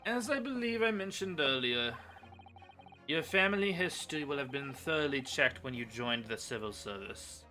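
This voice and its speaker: A man's voice: a little high, expressive, normal speed, bright, forceful tone, soft background music. A man voicing a formal, pointed prosecutor in a courtroom game fan dub. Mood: cool, pointed.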